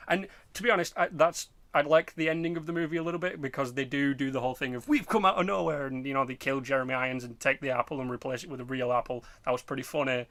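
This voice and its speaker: A man's voice: mid-range voice, a bit bright, no echo. Man, rambling casual podcast talker, dry sound. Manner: rambling, sweary, halting delivery. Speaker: podcast host. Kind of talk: casual chat about game movies.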